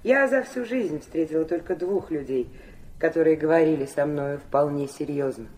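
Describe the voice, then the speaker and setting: An actress playing a poised, guarded society lady in a classic audio drama, speaking in a measured, candid manner. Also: low voice, darkish tone, natural voice. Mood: candid, wistful.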